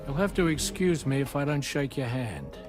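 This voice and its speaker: An actor playing a genial, easygoing ship's captain and doctor in a video game. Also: male voice, mid-range voice, lively intonation, normal speed, music in the background, natural voice. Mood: friendly. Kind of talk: cinematic video game acting.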